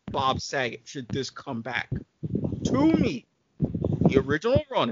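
Animated man debating, dull lo-fi sound. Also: sits high, very noisy. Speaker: podcast panelist. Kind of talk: casual debate.

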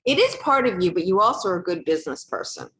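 A woman's voice: fairly low pitch, sing-song, quick pace, a bit bright. Affirming woman host in an echoey room. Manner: affirming, thinks aloud with fillers. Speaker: interviewer, host. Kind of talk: conversational interview.

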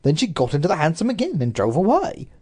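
A reader voicing a deferential household servant who answers apologetically. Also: male voice, fairly high pitch, huge pitch swings, quick pace, darkish tone, breathy edge, voice pushed up, exaggerated voice. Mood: flustered.

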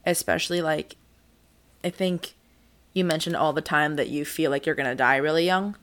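A young woman speaks in a very low voice with a lot of vocal fry. She co-hosts a casual podcast and muses tentatively, hedging her thoughts with plenty of "like"s. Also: steady tone, moderate pace, a bit bright. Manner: reflective, tentative, full of "like". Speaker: podcast co-host.

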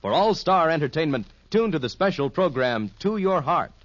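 A dry, muffled vintage broadcast of an American man announcing. His voice is highish and rises and falls freely. The delivery is formal, polished network radio continuity. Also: very animated, normal speed, dry recording.

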